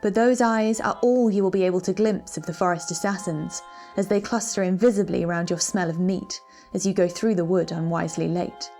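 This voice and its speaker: Female narrator for dark literary tale. Dramatic, ominous, measured phrasing, music underneath. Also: lowish voice. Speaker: reader of a short story. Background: background music.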